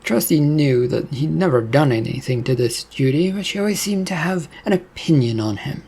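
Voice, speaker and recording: A man's voice: fairly high pitch, animated, moderate pace, darkish tone, breathy edge. A man reading scripted fiction as a narrator.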